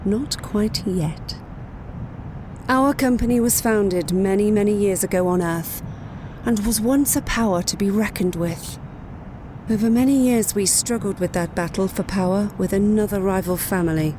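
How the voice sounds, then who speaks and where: A woman acts a villainous matriarch in a sci-fi drama, grand and condescending. She speaks in measured phrases at a middle pitch, over a clear humming noise. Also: natural voice. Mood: grand, resolute. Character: scheming villainous matriarch of a power-hungry space-company family. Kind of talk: sci-fi audio drama acting.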